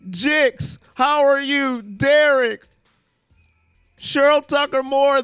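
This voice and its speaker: A man hosting a live sports stream, chatting and teasing his viewers, his voice hoarse from yelling. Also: extremely high pitch, no echo. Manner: animated, teasing chat with viewers. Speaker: sports livestream host. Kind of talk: live fan pregame chat.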